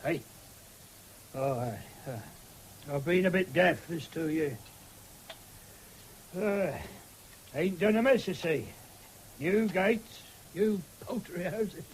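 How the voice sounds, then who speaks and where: An actor playing a rough, deaf old vagrant with a rural English accent in a radio drama, terse and muttering. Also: male voice, high-pitched, slow pace, long pauses, poor audio. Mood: hesitant, deaf. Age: older. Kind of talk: 1970s British radio drama acting. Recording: faintly hissy old radio broadcast.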